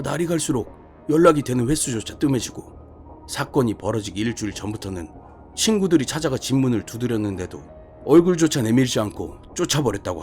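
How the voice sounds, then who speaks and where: Man acting as a gruff, blunt senior detective. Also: medium pitch, a little mellow, lightly acted. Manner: colloquial, emphatic, scolding storyteller. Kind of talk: dramatized horror short story reading.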